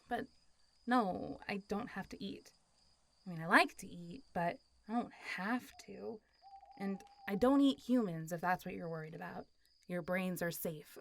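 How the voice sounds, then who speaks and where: A woman playing a friendly, teasing, centuries-old zombie girl in an intimate roleplay audio drama, talking in a casual, playful way. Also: medium pitch. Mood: wry. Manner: casual, playful, teasing, often laughing and sighing.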